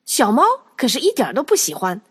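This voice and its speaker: A woman narrating a children's audio story in an expressive, animated storytelling style. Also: medium pitch, fast talker, a little hiss or hum.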